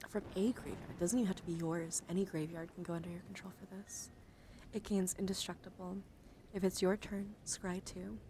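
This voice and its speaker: A woman livestream host speaking softly and gently in an ASMR style, often slipping into whispers, close to the microphone. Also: on the low side, steady tone, a little fast. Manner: frequently drops into whispers. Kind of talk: soft-spoken ASMR card reading and casual stream chat. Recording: clear background ambient noise.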